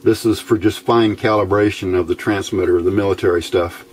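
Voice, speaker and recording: A man giving an informal, unscripted hands-on tutorial in a plain-spoken, halting way, recorded indoors at a workbench on a camera mic. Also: deep voice, steady tone, darkish tone, husky. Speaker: host of a hands-on electronics tutorial.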